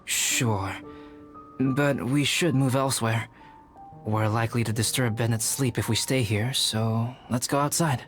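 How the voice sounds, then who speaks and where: Man as composed young painter, medium-pitched male voice, calm, scholarly, anime game. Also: a little slow, soft background music, close to an everyday voice. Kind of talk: anime video game voice acting.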